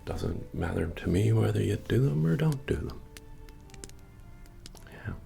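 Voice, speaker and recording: A man playing a friendly, humble medieval fantasy innkeeper in a soft-spoken, deep-voiced, understated ASMR roleplay. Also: animated, measured pace, creaky, full character voice. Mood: easygoing, musing. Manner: hesitant, rambling, understated delivery. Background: bard music.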